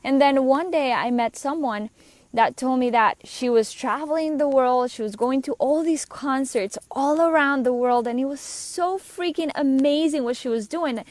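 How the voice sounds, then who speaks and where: A Colombian woman, a business coach with Spanish as her first language, telling her own story in an earnest, conversational way with quick asides. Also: high-pitched, a little mellow, no echo. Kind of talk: personal story monologue.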